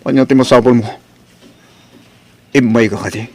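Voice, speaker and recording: An actor playing a bitter, scornful husband in an Ilocano audio melodrama, curt and accusatory, with a husky voice and an Ilocano accent. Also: male voice, medium pitch, fairly even intonation, moderate pace, a crowd in the background, natural voice. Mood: bitter, accusing. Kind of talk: Ilocano radio-style melodrama acting.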